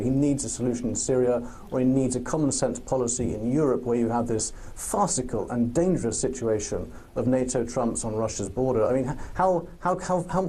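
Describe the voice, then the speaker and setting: Mellow-voiced male political commentator, emphatic. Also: medium pitch. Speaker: panel guest, commentator. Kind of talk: political commentary in a live discussion.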